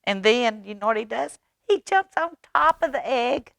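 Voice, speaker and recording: A woman reading a children's story aloud expressively, with dramatic pauses and coaxing questions to young listeners. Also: a little high, animated, creaky, fairly bright tone, dry recording. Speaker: library storytime reader.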